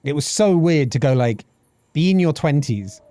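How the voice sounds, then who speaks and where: A British man in his thirties talks loosely to his live-stream chat, swearing and laughing as he goes. His voice swoops up and down with real liveliness and sits a little on the high side. The sound is dry and close, with no room around it. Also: normal speed, no room sound. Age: 30s. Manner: animated, swearing, reads chat aloud and laughs often. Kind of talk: casual live-stream chat with game lore reading.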